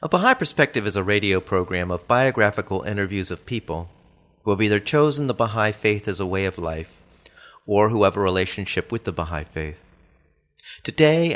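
A man's voice: lowish voice, a bit bright. A man hosting a radio interview program, reading introductions and putting questions to a guest.

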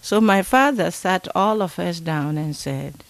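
Slow, gravelly older Ghanaian-born woman. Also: fairly low pitch, expressive. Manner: slow, thoughtful, many pauses and fillers. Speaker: interview guest. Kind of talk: interview, recalling childhood.

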